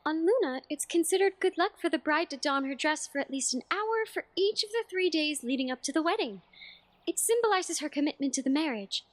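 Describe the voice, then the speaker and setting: A woman's voice: sits high, expressive, brisk. A woman playing a sweet, curious young noblewoman in an audio drama, speaking politely and coaxingly with sly playfulness.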